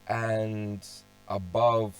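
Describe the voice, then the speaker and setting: Slow, low-voiced man losing his voice. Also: level tone, faint music behind. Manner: thinks aloud, restarts often, clears his throat a lot. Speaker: car audio hobbyist presenting a tuning walkthrough. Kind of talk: casual screen-share tutorial.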